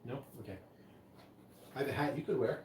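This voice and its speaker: A man's voice: mid-range voice, fairly quick, darkish tone, slight background noise. A man hosting a live stream, chatting in a rambling, casual way about drinks. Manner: rambling, chatty, many restarts. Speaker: livestream host mixing drinks. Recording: echoey room, voice from far off.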